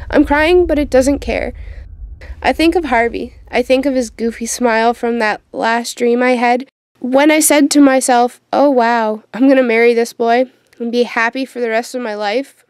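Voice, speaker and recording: A woman's voice: a little high, fairly even intonation, normal speed, a little mellow, clear, resonant tone, no room sound, lightly acted. A woman playing a frightened teenage witch who tells her own story in a dramatic, youthful way for an audio drama. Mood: awed despair. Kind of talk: first-person narration in an audio drama.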